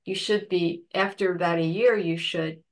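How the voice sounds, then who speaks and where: Woman presenting a grief webinar on a video call; lowish voice, hesitant delivery. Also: fairly even intonation, moderate pace. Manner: hesitant, searching for words. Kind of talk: online lecture and Q&A.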